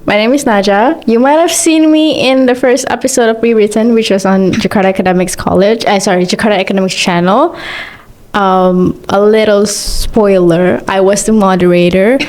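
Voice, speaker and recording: A woman's voice: mid-range voice, steady tone, moderate pace, a little mellow. A woman of about twenty with a Malaysian English accent, chatting casually as a guest and laughing often. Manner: giggly, chatty, many "like" fillers. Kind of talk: casual chat.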